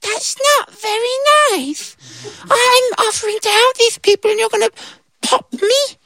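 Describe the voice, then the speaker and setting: A storyteller voicing a tiny, brave ant with a small, high voice. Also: steady tone, unhurried, gravelly, bright, forceful tone, dry recording, exaggerated voice. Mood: earnest. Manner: small squeaky voice.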